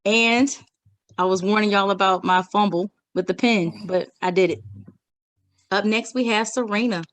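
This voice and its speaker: A woman's voice: mid-range voice, steady tone, normal speed. Woman host, upbeat and casual, a bit off-mic on a call. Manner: upbeat, hyping the poets. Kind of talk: casual hosting.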